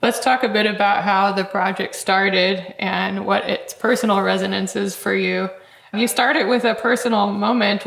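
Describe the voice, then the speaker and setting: A woman podcast host speaks in a medium-pitched voice with noticeable creak. She delivers prepared introductions and interview questions. The sound is roomy, with audible echo. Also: fairly bright tone.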